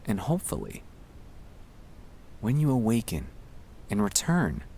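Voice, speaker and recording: Male, low voice, very slow delivery; gentle, soothing ASMR comfort read over faint low rumble. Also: wildly swooping pitch, creaky. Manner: gentle, soothing, hushed, reassuring. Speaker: ASMR sleep-aid narrator. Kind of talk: ASMR guided relaxation, scripted comfort monologue.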